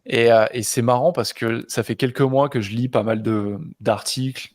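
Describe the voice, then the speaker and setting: Guy hosting a podcast, mid pitch, super informal chat, thinks out loud, dry sound. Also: steady tone, moderate pace, no echo. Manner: informal, familiar, thinking aloud. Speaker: podcast co-host and interviewer. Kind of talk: casual conversational interview.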